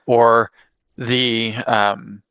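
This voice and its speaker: Bright-voiced man chatting on a podcast. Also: medium pitch, flat delivery, very slow, clear, resonant tone, dry recording, lo-fi sound. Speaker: podcast co-host. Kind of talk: casual explanatory podcast chat.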